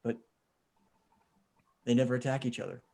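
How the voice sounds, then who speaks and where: A man's voice: mid-range voice, normal speed, gravelly, warm tone, lightly acted. A man playing a determined, level-headed zombie survivor. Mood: reasoning, resolute. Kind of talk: table read of a comic book script. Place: remote video call table read.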